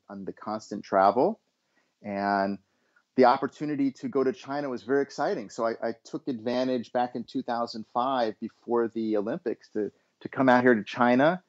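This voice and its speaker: A middle-aged American man from Los Angeles answers interview questions in a measured, mid-pitched voice. He often restarts his phrases, and the sound is dull and lo-fi. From Los Angeles, USA. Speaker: guest, education consultant.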